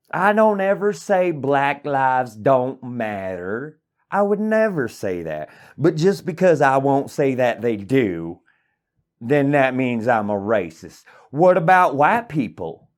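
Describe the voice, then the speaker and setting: A man playing an angry hillbilly, delivering an indignant, profane, rambling comedic rant. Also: medium pitch. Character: angry rural hillbilly man ranting about politics. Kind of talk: satirical comedic monologue.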